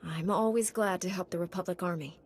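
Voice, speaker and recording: A woman's voice: lowish voice, a little fast, slight background noise, lightly acted. A woman playing a resolute young heroic knight in a video game, confident and direct. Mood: calm, assured.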